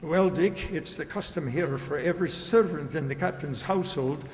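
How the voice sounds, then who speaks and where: A courteous household servant, acted by a man in a children's radio play. He speaks formally in a fairly high voice, with heavy echo from a hall. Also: normal speed, natural voice. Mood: courteous, proud. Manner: polite, formal. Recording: very echoey hall recording.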